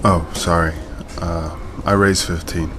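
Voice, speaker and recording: Casting: male actor as worried crewman, deep hesitant voice, sober, sci-fi audio drama over music. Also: steady tone, a little slow. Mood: subdued, worried. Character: anxious, subdued male human crewman. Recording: music behind voice.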